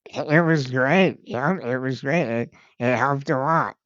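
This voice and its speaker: A man's voice: mid-range voice, measured pace, fairly bright tone, muffled recording. A man hosting a podcast, talking in a friendly, casual way with plenty of "you know" fillers. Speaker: podcast host.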